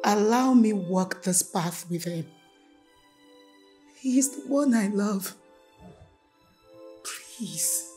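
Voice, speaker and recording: A woman's voice: mid-range voice, slow, pauses often, warm tone, soft background music, close to an everyday voice. A young woman playing a desperate, defiant daughter with a Nigerian accent in an emotional film drama. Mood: weary pleading. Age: young adult. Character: desperate, defiant young daughter married off against her will. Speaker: actress.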